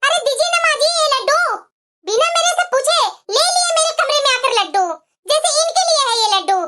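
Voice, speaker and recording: Piercingly high, bossy young daughter-in-law. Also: female voice, a little fast, a little hiss or hum. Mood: angry scolding. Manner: demanding, sharp, wheedling. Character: bossy, entitled young daughter-in-law, sugary then scolding. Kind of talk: Hindi family drama story dialogue.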